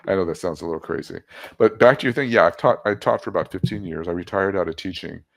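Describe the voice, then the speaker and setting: Older man, 70s, low and gravelly, easygoing storyteller who jokes about himself. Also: quick pace, fairly bright tone. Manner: chatty, self-deprecating, laughs at his own jokes. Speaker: guest. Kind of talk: casual storytelling interview.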